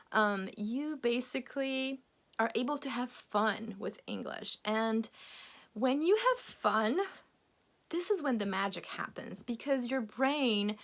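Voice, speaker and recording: A young woman from Poland, a native Polish speaker, coaches English learners in a relaxed lesson to camera. Her voice sits a little high and swings widely in pitch, and she keeps things upbeat and encouraging, laughing easily as she talks. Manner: lively, encouraging, laughs often. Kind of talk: casual video lesson to camera.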